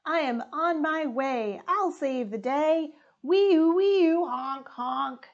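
Woman voicing an eager little fire engine, high-pitched. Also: takes it slowly, lo-fi sound. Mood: determined. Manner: excited, emphatic siren calls.

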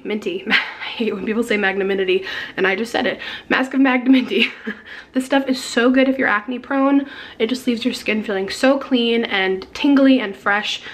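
A young woman in her twenties, hoarse and losing her voice, chatting casually to a handheld camera in her kitchen with lots of giggles and fillers. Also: mid-range voice, fairly even intonation, normal speed. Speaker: beauty vlogger. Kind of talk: casual vlog chat. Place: home kitchen. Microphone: handheld camera at arm's length.

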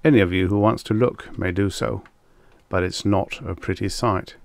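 A man's voice: sits low, steady tone, normal speed, creaky. A man voicing a grim, matter-of-fact spaceship captain in an audiobook. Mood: grave. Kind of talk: audiobook character voice.

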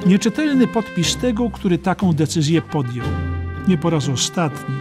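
A man's voice: a little high, sing-song, normal speed, over music. A man reading scripted history narration as an audiobook narrator.

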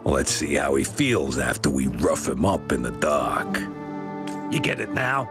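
A man playing a menacing, ruthless gang boss in a video game, with a gruff, threatening manner. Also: a bass voice, sing-song, a little slow, exaggerated voice. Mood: scornful, menacing. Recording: game music underneath.

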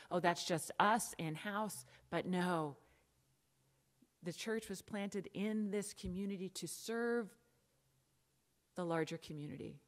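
A middle-aged American woman pastor preaching from the pulpit in a church sanctuary, conversational with asides and emphatic lists. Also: sits low. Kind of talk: sermon.

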